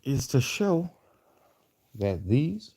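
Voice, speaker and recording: Male vlogger, very dark, relaxed tone with gravelly creak, affected Southern US drawl, slangy off-the-cuff monologue. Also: mid-range voice. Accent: put-on Southern US drawl. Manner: slangy, repetitive, halting. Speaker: vlogger addressing followers. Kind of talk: rambling casual monologue to camera.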